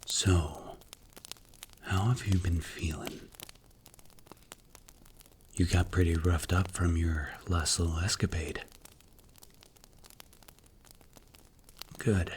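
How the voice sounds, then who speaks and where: A man acts out a strict mafia boss in an ASMR roleplay, with a low, commanding voice. He speaks in clipped, deliberate phrases with cool authority and tight control. Faint noise sits behind the voice. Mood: cool, approving.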